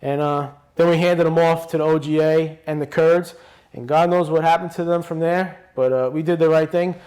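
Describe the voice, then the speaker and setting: A man's voice: fairly high pitch, steady tone, normal speed, darkish tone, rough recording. A man, a guest on a podcast telling stories in a blunt, loose way with many restarts. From Brooklyn, New York. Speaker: podcast guest, retired police officer. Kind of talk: casual interview conversation.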